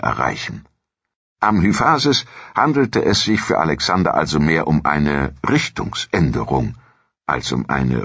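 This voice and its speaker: Very deep, husky male documentary narrator. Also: fairly bright tone, no room sound, dull audio. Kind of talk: scripted historical narration.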